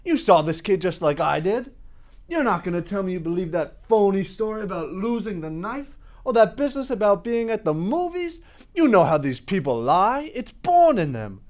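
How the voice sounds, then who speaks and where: A woman's voice: medium pitch, sing-song, normal speed, darkish tone, lightly acted. A woman performing a dramatic monologue as an angry bigoted juror, agitated and emphatic as she argues with the others. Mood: scornful, heated. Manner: agitated, emphatic, stammering pleas.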